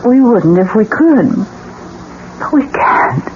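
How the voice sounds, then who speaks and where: An actress playing an anxious, flustered young wife who speaks hesitantly and stammers in a 1970s radio drama. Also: female voice, a little high, very animated, takes it slowly. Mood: distressed. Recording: muffled noisy old radio recording.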